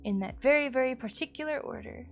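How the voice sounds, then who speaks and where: A woman live streamer chatting casually to viewers in an expressive, rambling, playful way, sounding a little sleepy. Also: sits high, fast talker. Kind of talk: casual chatty livestream sign-off. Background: music.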